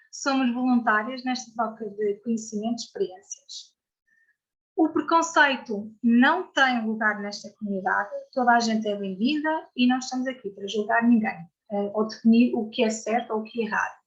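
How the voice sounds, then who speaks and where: A woman's voice: fairly high pitch, normal speed, muffled recording. A woman hosting an online community session, filling her sentences with hesitant "então" and "ah". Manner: hesitant, many "então" and "ah" fillers. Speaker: community organizer and host. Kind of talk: opening remarks. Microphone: video call.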